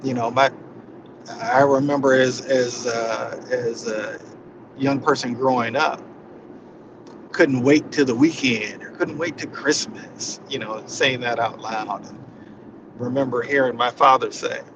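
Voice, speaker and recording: A man with a mid-pitched voice gives an informal inspirational talk at a slow pace. He is reflective and halting, circling back to repeat phrases. Clear ambient noise, like that of a vehicle, sits behind his voice. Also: steady tone. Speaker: spiritual session leader.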